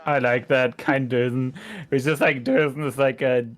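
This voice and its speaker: A man with a middle-pitched voice chats as a commentator. He casually translates and explains game lines, with music in the background. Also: fairly even intonation, moderate pace.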